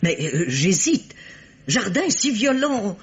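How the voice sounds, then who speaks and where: A woman's voice: mid-range voice, big pitch swings, slow. An actress playing a coquettish, gossipy, much-married woman, dramatically hesitant, with theatrical gushing delivery in 1950s French radio mystery style. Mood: coy, fretting. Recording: dull vintage radio recording.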